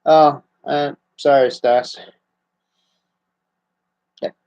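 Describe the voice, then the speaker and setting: A man in his fifties from the United States, chatting casually on a home livestream, relaxed and halting with frequent restarts, seated close to a webcam. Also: mid-range voice, creaky. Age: 50s. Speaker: livestream host answering viewer questions. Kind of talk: casual live Q&A chat. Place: small room at home, seated in armchair indoors. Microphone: webcam at close range. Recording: slightly dull lo-fi webcam audio.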